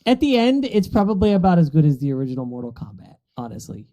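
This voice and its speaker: A man's voice: a little high, very animated, quick pace, dark tone. A man co-hosting a casual group podcast chat about movies. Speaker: podcast co-host.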